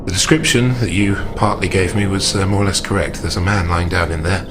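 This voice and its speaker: Male player as grave investigator for a tabletop horror game: low, understated, courteous, with music underneath. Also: fairly even intonation, fairly quick, lightly acted. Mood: grave, measured. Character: polite, grave male investigator reporting grisly findings.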